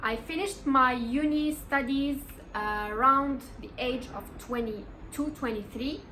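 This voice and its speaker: A woman in her twenties talks through life lessons in a slow, deliberate vlog delivery. Her voice is bright and sits a little high. She sounds earnest and encouraging, and a faint room ambience hangs behind her. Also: a little hiss or hum, low-quality recording. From Morocco. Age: 20s. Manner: earnest, encouraging, emphatic repeats. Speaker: vlogger. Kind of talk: casual motivational vlog.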